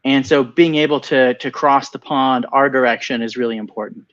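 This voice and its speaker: An enthusiastic man talks loosely in a webinar, often restarting his sentences. His voice sits at an ordinary pitch. The audio is slightly dull and lo-fi, as over a video call. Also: steady tone, moderate pace, a bit bright. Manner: enthusiastic, rambling with many repeated starts. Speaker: venture capital partner and co-host. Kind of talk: casual webinar conversation.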